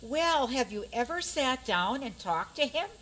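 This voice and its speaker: Woman playing a practical, high-voiced fairy-tale princess. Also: big pitch swings, measured pace, fairly bright tone, a little hiss or hum, full character voice, poor audio. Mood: matter-of-fact. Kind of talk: community radio theater acting.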